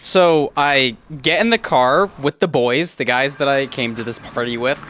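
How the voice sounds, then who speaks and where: A man's voice: mid-range voice, moderate pace, fairly bright tone, a little hiss or hum. A man in his twenties telling a personal story casually, in a rambling, conversational way, often quoting himself as he goes. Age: 20s. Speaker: YouTuber.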